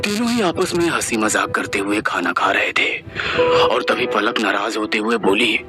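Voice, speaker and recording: A man's voice: fairly low pitch, lively intonation, moderate pace. A man narrating a scripted horror story in a suspenseful, dramatic storytelling style. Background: loud dramatic music and effects.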